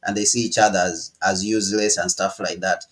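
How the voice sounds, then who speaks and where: A man's voice: sits low, fairly even intonation, fairly quick, a bit bright. A man from Ghana and Uganda, a guest giving political commentary on a live stream, who often repeats words and says "you know".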